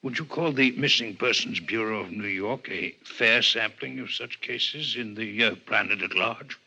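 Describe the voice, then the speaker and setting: An alien doctor from old radio drama, low and rough in voice. He speaks with stiff, exact courtesy and a hard, sharp edge. The recording is an aged radio broadcast. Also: normal speed, exaggerated voice. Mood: formal, inquisitive. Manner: formal, stiff, precise diction. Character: courteous, formal alien doctor visiting Earth. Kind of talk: 1950s radio drama acting. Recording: muffled, dull 1950s radio recording.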